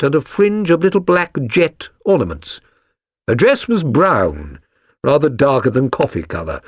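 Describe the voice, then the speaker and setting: A man voicing an earnest, observant gentleman companion in an audiobook, with a British accent and a careful, hesitant way of describing things. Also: mid-range voice, husky, no room sound, clean studio sound, exaggerated voice. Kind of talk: dramatised audiobook reading.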